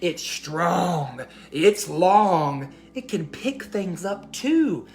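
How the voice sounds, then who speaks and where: A woman voicing a small male mouse in a children's storybook, squeaky and brisk, bossy but kindly. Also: expressive, a little mellow, heavily acted. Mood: excited. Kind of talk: children's storybook read-aloud.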